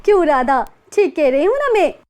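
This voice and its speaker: A woman's voice: very high voice, big pitch swings. A woman doing a spoiled, jealous rich girl. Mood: mocking. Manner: sneering, quick-talking. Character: rich, jealous, mocking young college girl. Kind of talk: storybook character voice.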